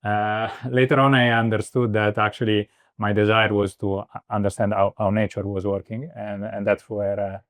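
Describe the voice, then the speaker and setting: A man's voice: on the low side, level tone, moderate pace, hardly any pauses, dry recording, studio quality. A man from Italy in his thirties answering interview questions, hesitant, with many fillers and restarts. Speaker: guest, startup co-founder.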